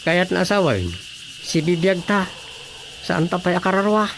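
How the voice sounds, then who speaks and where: A woman's voice: fairly low pitch, expressive, moderate pace, a little hiss or hum. A woman with an Ilocano Filipino accent voicing a bewildered husband in a radio comedy drama.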